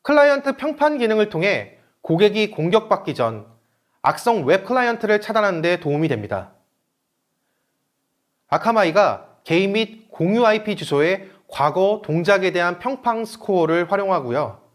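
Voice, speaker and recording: High-pitched man giving a formal presentation. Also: animated, normal speed. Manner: formal, explanatory. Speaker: presenter, company manager. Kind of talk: scripted webinar presentation.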